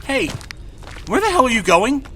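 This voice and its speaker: A man acting a frightened, indignant carriage passenger in a horror audio drama, agitated and demanding, over loud background noise. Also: extremely high pitch, very animated, normal speed. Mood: terrified, pleading.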